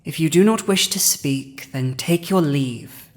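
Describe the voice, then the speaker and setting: A woman playing a proud villainous dragon who has seized a human throne, speaking formal, archaic lines in a scripted audio roleplay. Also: sits low. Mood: remorseful, subdued. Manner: formal archaic diction, haughty, deliberate.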